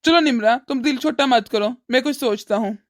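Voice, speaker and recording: Woman voicing a high-voiced, soothing cartoon husband. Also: a little fast, lightly acted. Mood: consoling. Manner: consoling, resolute. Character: protective, soothing young husband in a troubled household. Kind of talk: animated family drama dialogue.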